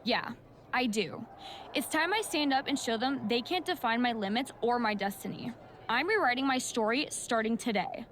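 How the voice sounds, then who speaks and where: A woman's voice: on the high side, expressive, moderate pace, fairly bright tone, slight background noise. A woman playing a resolute young wrestler breaking free, in a video game cutscene.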